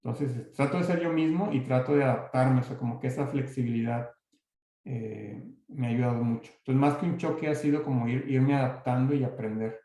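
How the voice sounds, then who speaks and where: A man with a Mexican accent answers questions after his talk, pausing and restarting often. His mid-pitched voice is mellow with a gravelly edge. The recording carries a heavy room echo. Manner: hesitant, many restarts and fillers. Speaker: guest speaker.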